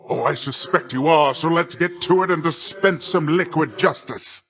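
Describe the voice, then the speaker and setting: A boisterous, boastful sea god uncle. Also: male voice, a little high, fairly bright tone. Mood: confident, chummy. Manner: grandiose, jovial, theatrical delivery with archaic flourishes. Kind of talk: video game character voice lines.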